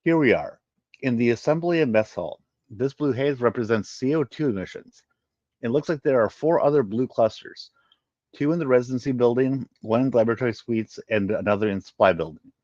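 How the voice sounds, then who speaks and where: A man's voice: mid-range voice, a little fast, a little mellow, natural voice. Helpful male alien worker, read by a man, earnest, explanatory, sci-fi storybook delivery. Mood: earnest, informative. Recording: muffled, roomy home recording.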